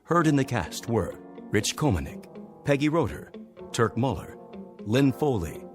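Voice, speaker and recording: A man's voice: medium pitch, big pitch swings, measured pace. A man reading scripted cast credits as a radio drama announcer. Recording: music clearly behind the voice.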